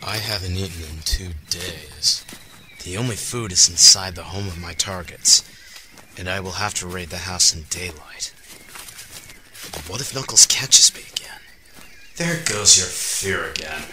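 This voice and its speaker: Casting: male actor as amnesiac cyborg hunter, very bright hard-edged low voice, formal, radio drama, faint noise. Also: a little slow, heavily acted. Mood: grim, then fearful, conflicted. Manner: formal, clinical. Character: Shadow, cold formal amnesiac half-robot male hunter. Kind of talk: amateur radio drama log monologue.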